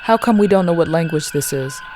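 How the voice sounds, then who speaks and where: An actress playing a decisive starship first officer in a science fiction audio drama. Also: female voice, fairly low pitch, lively intonation, fairly quick, noisy background, close to an everyday voice. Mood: brisk, commanding.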